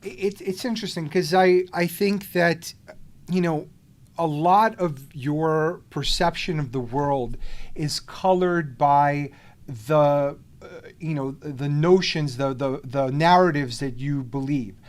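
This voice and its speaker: A man's voice: fairly high pitch, slow pace. A man hosting a podcast, born in the Soviet Union and speaking English as a second language, talking in a rambling way with many restarts and fillers. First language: not English. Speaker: podcast host. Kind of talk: casual conversation.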